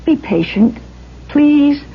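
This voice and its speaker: An actress playing an eager, romantic young stage hopeful, earnest and hesitant, in an old-time radio drama. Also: female voice, sits high, animated, takes it slowly, gravelly, exaggerated voice. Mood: earnest pleading. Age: young adult. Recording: dull, noisy old radio recording.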